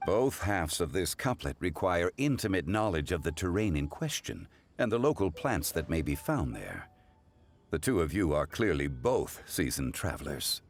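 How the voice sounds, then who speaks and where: An actor playing a refined, erudite poetry judge in a video game, speaking formally and with composure. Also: male voice, sits low, big pitch swings, moderate pace, slight background noise. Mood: courteous, composed. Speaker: judge commenting on couplets. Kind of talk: formal scripted game dialogue.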